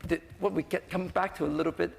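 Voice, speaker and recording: A man with a fairly high, gravelly voice talks off the cuff on a panel, tagging his points with little check-in questions. The hall rings around him, with some noise. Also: flat delivery, fast. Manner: conversational, peppered with "right?" tags. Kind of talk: unscripted panel discussion.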